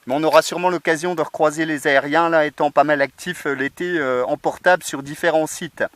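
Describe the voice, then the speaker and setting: A man with a slightly high voice talks casually as a radio amateur. The sound is quite dry, as he speaks from an open field into a portable transceiver. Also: steady tone, a little fast, fairly bright tone, dry recording. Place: outdoors in a field under a tree. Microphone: portable radio transceiver microphone.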